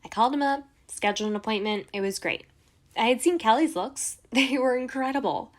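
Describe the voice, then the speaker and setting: A woman's voice: on the high side, expressive, fairly quick, natural voice. A woman playing an indignant, dramatic Hollywood socialite in an amateur radio play, with a theatrical, exasperated manner. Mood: indignant recounting.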